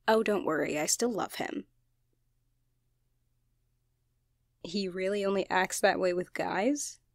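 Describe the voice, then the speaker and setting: Woman acting casual, expressive young friend, close dry sound. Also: mid-range voice, no echo. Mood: casual, amused. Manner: teasing, casual, expressive, apologetic. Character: playful, bantering, anxious young genderfluid best friend. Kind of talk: ASMR roleplay, one-sided conversational acting.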